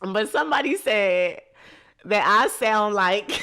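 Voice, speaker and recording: A woman, hosting a podcast and explaining ideas in a lively, laughing, casual way. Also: mid-range voice, takes it slowly. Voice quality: nasal. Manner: lively, laughs a lot, teasing. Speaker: podcast host. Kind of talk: casual teaching talk.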